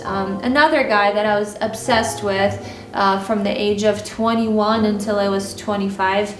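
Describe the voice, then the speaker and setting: A woman in her thirties, from Ukraine and a native Russian speaker, talks candidly to camera at a middle pitch, filling her stories with little ums and uhs. The room rings with a noticeable echo, and music plays clearly behind her. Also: distant mic. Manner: candid, conversational, with many fillers. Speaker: style and mindset vlogger. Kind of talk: personal storytelling to camera. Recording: roomy echo with music bed.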